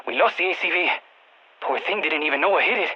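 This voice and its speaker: A man playing an excitable, quirky scientist advising a strike team over the radio in a video game, with a Spanish accent and exclamatory delivery. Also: on the high side, fast, muffled recording, exaggerated voice. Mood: distressed. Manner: animated, exclamatory, peppers speech with Spanish interjections. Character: excitable, quirky male exogeologist supporting a military strike team. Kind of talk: video game voice acting, mission radio callouts.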